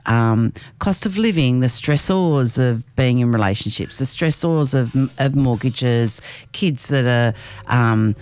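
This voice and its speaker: A woman's voice: extremely low pitch, darkish tone, dry recording. An Australian woman on a talk panel, speaking hesitantly with many fillers and restarts, and growing exasperated when she makes a point. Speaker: panel guest. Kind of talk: casual panel chat.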